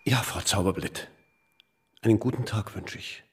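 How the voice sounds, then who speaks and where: An actor in a radio drama playing a pharmacist who patiently placates his sick wife, with a breathy, husky voice. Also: on the low side, moderate pace. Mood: weary, soothing. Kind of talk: literary radio drama acting.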